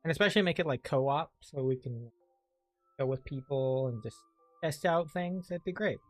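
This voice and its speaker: A man's voice: a little high, normal speed, no room sound, faint music behind. A man giving casual let's play commentary, thinking aloud in a hesitant, rambling way. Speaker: game streamer.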